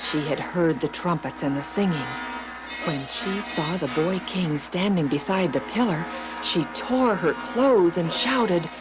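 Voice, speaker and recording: A woman narrating scripted Bible stories for children in an expressive, deliberate storytelling manner. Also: fairly low pitch, low-quality recording. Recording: music behind the reading. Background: background music.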